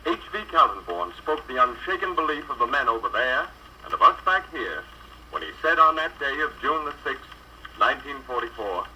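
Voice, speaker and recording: A man's voice: mid-range voice, big pitch swings, normal speed, bright, forceful tone. An American man reads scripted narration in a dramatic, solemn 1940s radio documentary style, on an old noisy record.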